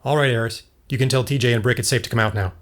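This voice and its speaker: A man playing a steady, compassionate team leader in an audio drama, explaining things plainly. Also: medium pitch, racing, lightly acted. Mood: reassuring. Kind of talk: scripted audio drama acting.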